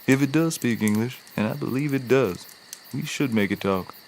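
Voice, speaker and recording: A folksy, easily delighted small-town fellow from a comedy series, played by a man. His voice sits at an average pitch and he draws out his exclamations. Night insects chirp faintly around him. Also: moderate pace, darkish tone, slight background noise, natural voice. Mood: eager, vengeful. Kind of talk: scripted comedy acting.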